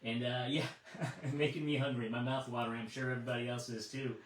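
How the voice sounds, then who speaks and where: A man acting as net control on a ham radio net, friendly and affirming, speaking casually with halting, repeated words. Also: mid-range voice, level tone. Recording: distant, echoey amateur radio transmission.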